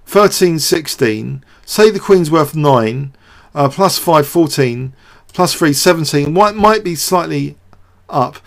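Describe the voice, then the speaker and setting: A man's voice: fairly high pitch, lively intonation, slow, vocal fry, few pauses. A man giving off-the-cuff game commentary as a show host, hesitant and thinking aloud with repeated words.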